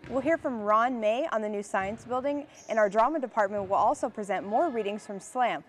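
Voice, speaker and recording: A woman's voice: fairly high pitch, fairly quick. A woman co-hosting a college TV show, reading a scripted introduction.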